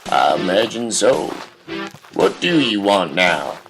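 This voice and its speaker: Callous folksy country pa, acted by a man, deadpan, unhurried and low, music behind. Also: creaky, heavily acted. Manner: unhurried, deadpan, folksy old-time phrasing. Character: gruff, indifferent rural father, callous and folksy. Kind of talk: surreal audio drama dialogue.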